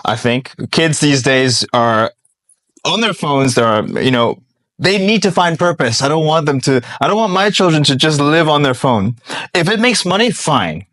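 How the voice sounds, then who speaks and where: A man's voice: a little high, animated, moderate pace, fairly bright tone. A man hosting a podcast, reacting to his guest in an informal, admiring way during a casual interview. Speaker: podcast host.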